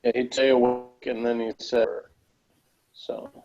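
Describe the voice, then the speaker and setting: A man with a mid-pitched voice talks casually as a co-reviewer. His sentences are tentative and meander along. The sound is dull and lo-fi, as on an online call. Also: level tone, unhurried, darkish tone. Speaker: co-host or guest reviewer.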